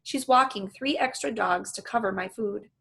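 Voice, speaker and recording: A woman reading a children's book aloud in an animated, storytelling manner. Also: mid-range voice, moderate pace, gravelly, slightly rough. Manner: animated, acts out the dialogue. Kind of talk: expressive read-aloud narration with asides to listeners.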